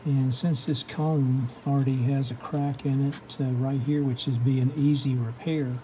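A man's voice: mid-range voice, little pitch movement, a little slow, mellow, a little hiss or hum. A man giving an unscripted, hands-on workshop demonstration, explaining step by step in a methodical, hesitant way. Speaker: host of a radio repair how-to channel. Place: indoor home workshop bench.

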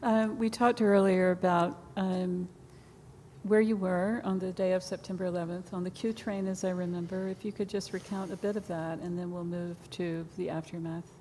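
A woman moderating an oral history panel, asking gentle, unhurried questions. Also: fairly low pitch, level tone. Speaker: interviewer, moderator. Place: conference hall. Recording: echoey hall, faint background noise.

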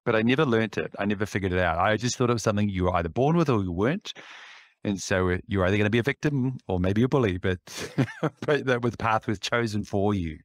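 A man's voice: lowish voice, fast talker, no echo. A man in his fifties with a New Zealand accent, a podcast guest talking reflectively over a video call from an office, often restarting his phrases. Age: 50s. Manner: reflective, with light laughs and frequent restarts. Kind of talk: casual interview chat. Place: indoor office. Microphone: headset on a video call.

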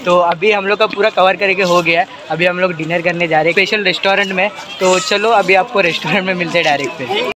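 Chatty young man with a high voice. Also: fairly even intonation, quick pace, crowd noise. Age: young adult. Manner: chatty, mixes English words into Hindi, laughs easily. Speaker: vlogger. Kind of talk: casual vlog narration. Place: city garden outdoors at dusk, then a busy restaurant. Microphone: phone held at arm's length.

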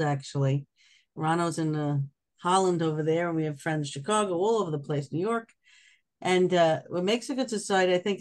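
A host speaking thoughtfully on a group video call, with pauses and restarts. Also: medium pitch. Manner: thoughtful, hesitant, many restarts. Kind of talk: casual group discussion.

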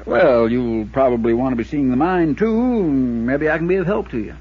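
Anxious husky 1950s radio townsman. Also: mid-range voice, expressive, normal speed. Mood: worried, pressing. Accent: American. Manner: earnest, pleading, hesitant under pressure. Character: anxious, insistent small-town American insurance agent, male. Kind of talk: 1950s radio drama acting. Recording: dull lo-fi 1950s radio recording.

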